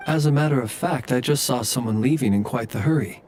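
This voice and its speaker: A measured, formal night-patrol soldier in a video game , played by a man. He is courteous but guarded, in a medium-pitched voice over faint background noise. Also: darkish tone, lightly acted. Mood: composed, formal.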